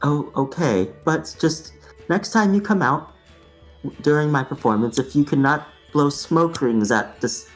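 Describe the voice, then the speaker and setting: A man puts on a prim snake dancer from a circus tabletop game who keeps her pleas polite while she grows flustered. The voice sits somewhat higher than usual, and faint music plays behind. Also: measured pace, lightly acted.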